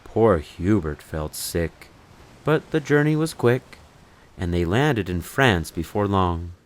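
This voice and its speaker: A man reading a children's story in verse aloud, with an expressive, measured, sing-song delivery. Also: low-pitched. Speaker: storybook reader.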